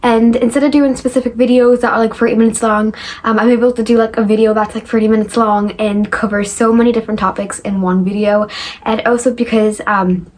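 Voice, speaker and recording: A woman's voice: a little high, level tone, brisk, darkish tone. A young woman from northeast England, chatting casually as she answers viewer questions in a rambling vlog. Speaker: recovery vlogger.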